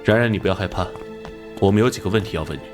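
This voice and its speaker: A man acting an earnest, authoritative police detective captain in a Chinese animated crime drama. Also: low voice, over music, close to an everyday voice. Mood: serious, questioning. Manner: authoritative, brisk, serious.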